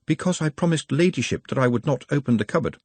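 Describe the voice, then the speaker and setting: A man's voice: medium pitch, lively intonation, fast talker, slightly rough, dry recording, voice pushed up. A man voicing a meek, weary, very polite goblin-like creature with formal, patient speech in an audiobook. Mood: hesitant, entranced. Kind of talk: audiobook character acting.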